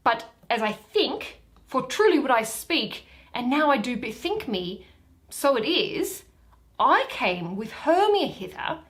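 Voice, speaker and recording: Lady doing sleepy confused young athenian guy, shakespeare verse, hesitant, slow. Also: high-pitched, very animated, fairly bright tone, exaggerated voice. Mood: dazed. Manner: halting, theatrical. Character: Lysander, dazed hesitant young Athenian man, half asleep. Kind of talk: solo Shakespeare reading.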